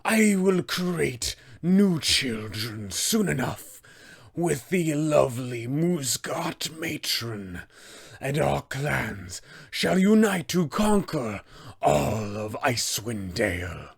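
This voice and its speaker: A man voicing an insane, imperious old dwarf tyrant for a tabletop game, raspy and gravelly, with a grandiose, menacing delivery. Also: medium pitch, very animated, heavily acted. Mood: brooding, scheming. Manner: slow, grandiose, menacing, theatrical. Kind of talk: villain monologue for a tabletop game.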